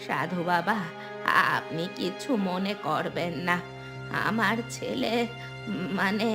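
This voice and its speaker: Kindly cartoon mother female actor: middle-aged, polite, unhurried, mid-pitched, music clearly behind. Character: kind, respectful middle-aged village mother. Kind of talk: cartoon voice acting.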